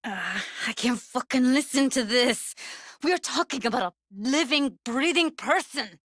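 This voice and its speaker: A woman's voice: high voice, expressive, unhurried, a bit bright, dry recording, studio quality, exaggerated voice. A woman voice-acting a tough, blunt but tender-hearted young techie in a video game, with clipped, slangy, sweary phrasing. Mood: angry, distressed.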